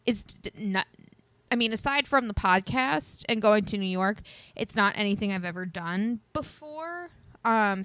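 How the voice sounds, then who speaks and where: A woman in her early thirties talks in a loose, rambling way, laughing between thoughts and sounding a little congested. Her voice is smooth and clean, recorded dry and close with no room sound. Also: medium pitch, a bit bright, no echo. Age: 30s. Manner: rambling, chatty, laughs often. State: sniffly, congested. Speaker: podcast co-host. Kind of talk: casual chat.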